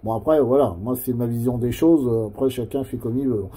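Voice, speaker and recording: A man's voice: medium pitch, brisk, dark tone, breathy edge. A man with a French accent chatting casually over amateur radio, explaining things in a rambling, hesitant way. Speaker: amateur CB radio operator who makes videos.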